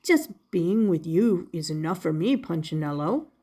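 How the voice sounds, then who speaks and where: A woman voicing a kind, fatherly male woodcarver in a storybook, gentle and reassuring. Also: fairly low pitch, lively intonation, normal speed, slightly rough, pitched below the performer's own voice. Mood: tender.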